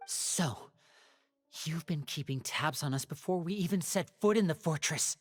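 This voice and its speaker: A woman's voice: sits low, normal speed, breathy edge. A woman playing a guarded, defiant young male magician in a video game, pointed and challenging. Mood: guarded, resolute.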